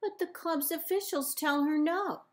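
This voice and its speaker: A woman librarian reading a children's picture book aloud in measured, deliberate phrases with pauses. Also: very high-pitched. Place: indoors, book held up to camera.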